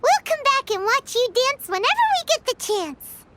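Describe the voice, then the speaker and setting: A woman's voice: very high-pitched, sing-song, moderate pace, background noise. A woman voicing a small, chatty, curious companion creature with a bubbly, childlike way of talking, over game music. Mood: delighted. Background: game background music.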